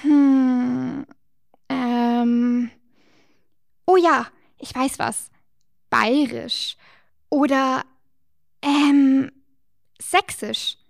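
Woman, children's co-host, cheerful explainer, slightly high pitch, dry sound. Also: fairly even intonation, drawn-out words, gravelly, pauses often, dry recording. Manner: bright, explaining, gently teasing. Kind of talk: scripted children's educational dialogue.

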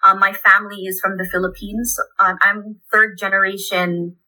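A woman's voice: mid-range voice, level tone, normal speed, sharp tone, rough recording. A woman from Hawaii, a lecturer, answering interview questions earnestly and thinking aloud with frequent ums. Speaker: lecturer and guest.

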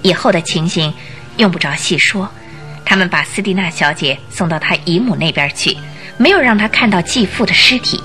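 A woman's voice: fairly low pitch, moderate pace. A woman narrating a radio detective drama, telling the story in a dramatic, suspenseful way. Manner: expressive, suspenseful delivery. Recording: music under the voice.

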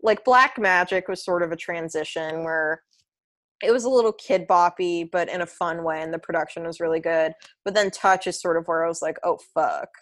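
A young woman with a low voice, chatting casually about pop music on a podcast, rambling with lots of fillers and restarts. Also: moderate pace, fairly bright tone. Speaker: podcast co-host.

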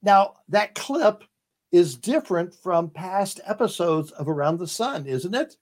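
A man's voice: sits high, lively intonation, measured pace. A man hosting a casual interview as a drag queen, with an effusive manner.

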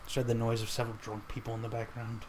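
A man's voice: medium pitch, monotone, very fast, background noise. A man co-hosting a casual podcast, joking around and laughing often. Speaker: podcast co-host. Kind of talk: casual chat.

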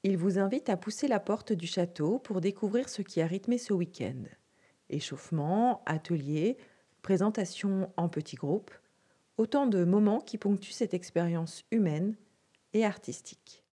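A woman narrating a podcast introduction in measured, scripted French. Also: mid-range voice, breathy edge. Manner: even, measured reading.

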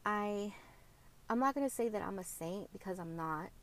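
A woman hosting a podcast, speaking openly and reflectively about co-parenting, often pausing and correcting herself. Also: mid-range voice, expressive. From Mexican heritage, grew up speaking Spanish at home. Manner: reflective and open, with frequent fillers and self-corrections. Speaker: podcast host. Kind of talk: candid personal podcast monologue.

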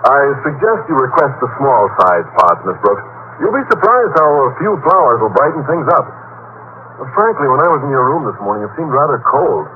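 A man's voice: medium pitch, big pitch swings, fairly quick, natural voice. A man playing an earnest, naive and slightly awkward biology teacher in old-time radio comedy. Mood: earnest, obliging. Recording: dull, faintly noisy old radio recording.

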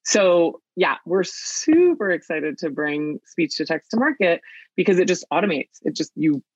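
A woman's voice: fairly low pitch, big pitch swings, normal speed, breathy edge, no room sound, lo-fi sound. A woman on a product team talking casually and earnestly, with many fillers and restarts. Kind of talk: casual conversational interview.

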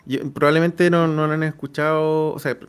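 A man's voice: a little high, little pitch movement, normal speed, smooth. A man with a Chilean accent co-hosting a live stream on a video call, chatting casually and repeating himself for emphasis. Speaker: co-host of a role-playing live stream. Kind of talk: casual chat. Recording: music playing behind the voice.

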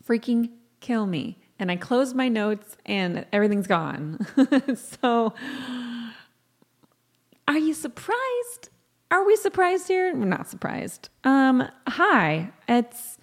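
A woman podcast host chatting solo in a casual, rambling, slangy and self-deprecating way, with a scratchy, croaky voice worn out from talking and lack of sleep. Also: a little high. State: sleep-deprived, voice tired from talking.

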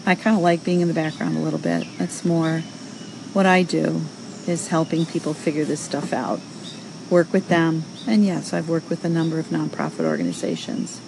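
A woman's voice: low-pitched, animated, normal speed, vocal fry, background noise. A middle-aged woman hosting a casual audio show, answering callers in a chatty, encouraging way with plenty of fillers. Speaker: host.

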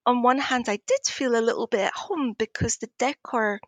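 A woman with an Edinburgh Scottish accent, speaking conversationally as an interview guest in a thoughtful, hesitant, measured way. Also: fairly high pitch, no echo. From Scotland, Edinburgh.

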